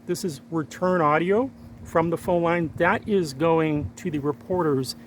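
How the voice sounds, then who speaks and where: A man's voice: fairly high pitch, unhurried, a little hiss or hum. A man presenting a casual hands-on tech demonstration, explaining technical steps plainly and informally to his viewers. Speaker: hobbyist presenter of a radio and satellite tech vlog.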